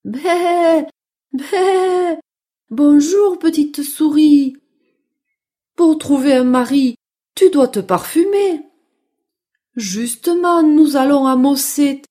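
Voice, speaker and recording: A woman's voice: high-pitched, extremely slow, pauses often, warm tone, pitched above the performer's own voice. A woman voicing friendly, helpful female sheep with a bleating, sing-song delivery in a children's story. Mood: friendly greeting.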